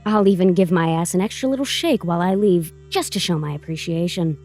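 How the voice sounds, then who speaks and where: An actress playing a brash, self-assured lawyer with breezy, theatrical sarcasm in an audio drama. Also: female voice, lowish voice, a little fast, darkish tone. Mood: breezy, sarcastic. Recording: faint music behind the voice.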